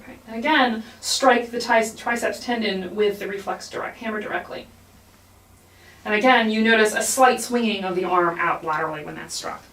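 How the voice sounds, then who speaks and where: Female instructor, mid-range pitch, step-by-step demonstration, explanatory, roomy echo, slightly distant. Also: normal speed, fairly bright tone. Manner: explanatory, repeats key points. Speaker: clinical skills instructor. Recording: echoey room, somewhat distant mic.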